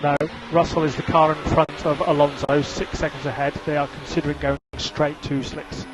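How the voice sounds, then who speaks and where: Higher-voiced male race engineer, very noisy radio. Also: little pitch movement, moderate pace. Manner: repeats instructions, businesslike. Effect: team radio. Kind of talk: clipped motorsport radio instructions. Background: vehicle noise.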